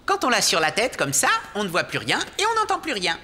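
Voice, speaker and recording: A woman's voice: medium pitch, wildly swooping pitch, fast. A woman voicing a helpful animal hospital colleague in a children's cartoon. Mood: eager, explaining.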